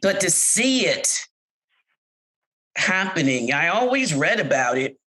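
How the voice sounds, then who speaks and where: A middle-aged American woman recounting her work experiences in an interview, speaking candidly and growing animated when she quotes others. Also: fairly low pitch, slow pace. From United States. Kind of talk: personal account in an interview.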